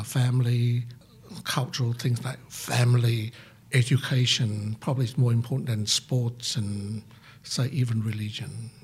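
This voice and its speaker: Older man, reflective and halting, rough husky sound, mid pitch. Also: darkish tone. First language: Vietnamese. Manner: halting, many fillers, laughs at himself. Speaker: psychiatrist and senior lecturer. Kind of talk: interview answers, reflective.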